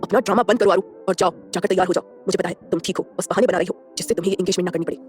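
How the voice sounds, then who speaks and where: A woman's voice: on the low side, racing, a little mellow, soft background music, pitched below the performer's own voice, lightly acted. A woman voicing a stern, controlling older brother who scolds harshly. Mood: angry.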